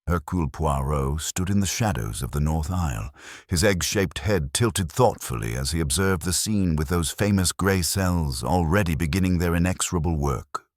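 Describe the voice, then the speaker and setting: A man reading a scripted mystery story as an audiobook narrator, in a measured, literary and dramatic storytelling manner. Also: low-pitched, expressive, dry recording, clean studio sound.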